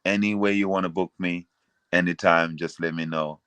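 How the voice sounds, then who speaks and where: A man's voice: low-pitched, fairly even intonation, normal speed, a bit bright, clean tone, no echo. A man with a Jamaican accent chatting casually as a singer being interviewed and promoting himself.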